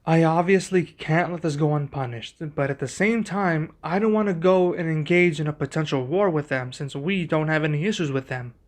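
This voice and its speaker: A man playing a young king and protective husband in an ASMR roleplay, speaking in a formal, measured, deliberate way. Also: fairly high pitch, natural voice. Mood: pensive, measured.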